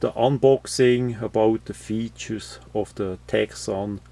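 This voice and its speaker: A man giving an unscripted, matter-of-fact product review, explaining things in a halting way with frequent pauses. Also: on the low side, steady tone, creaky, warm tone. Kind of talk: unscripted gadget review commentary.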